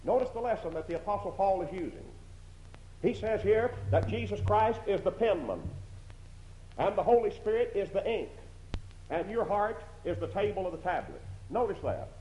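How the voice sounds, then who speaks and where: A man's voice: sits high, lively intonation, moderate pace, dark tone, a little hiss or hum. A man with a strong voice preaching a sermon in a church, emphatic, repeating phrases and asking rhetorical questions. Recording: dull lo-fi recording in an echoey hall.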